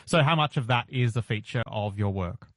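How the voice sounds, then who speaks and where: A man hosting a talk radio show in the studio, brisk and conversational. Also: mid-range voice, dry recording. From Australia. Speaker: radio host. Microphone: studio mic.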